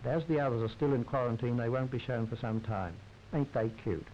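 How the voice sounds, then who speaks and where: Male, droll formal 1930s newsreel narrator, mid-pitched, on a muffled vintage soundtrack. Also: a little fast, slight background noise. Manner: wry, droll, formal society commentary.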